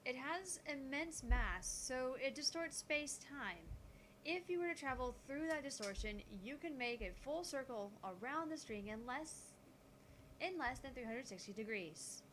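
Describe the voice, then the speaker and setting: A woman voicing a calm, gutsy teenage genius girl who lectures on physics in a visual novel. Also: high-pitched, a little slow, a little hiss or hum. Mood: explanatory. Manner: explanatory, lecturing.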